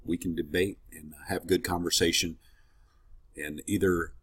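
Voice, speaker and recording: A man's voice: sits low, steady tone, measured pace, slightly rough. A man leading a casual Bible teaching, slightly congested and sniffling, in a friendly, conversational way with rambling asides. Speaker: ministry teacher.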